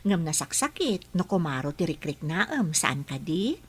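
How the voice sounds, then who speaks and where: A woman's voice: low-pitched, sing-song, unhurried, husky, faint music behind, full character voice. A woman acting a patient, caring young health worker in an Ilocano radio drama, with an Ilocano Filipino accent. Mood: patient, instructive.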